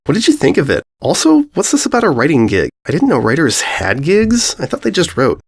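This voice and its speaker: A man's voice: fairly high pitch, huge pitch swings, fairly quick, slightly rough, voice pushed up, exaggerated voice. Man voicing a breezy, oblivious stallion pony. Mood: cheerfully oblivious.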